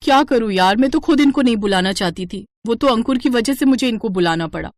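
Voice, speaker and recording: A woman's voice: a little high, lively intonation, brisk, no echo, lightly acted. Woman playing a falsely polite rich wife, dry sound. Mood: scornful. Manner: placating, excuse-making. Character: status-conscious wealthy wife, evasive and falsely polite.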